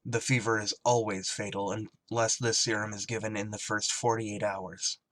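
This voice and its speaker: An actor playing a gruff, tormented emergency ship pilot in a radio drama, terse and weary under strain. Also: male voice, on the low side, level tone, normal speed, a bit bright, no room sound, natural voice, low-quality recording. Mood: grim, reluctant. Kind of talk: radio drama acting with narrated inner monologue.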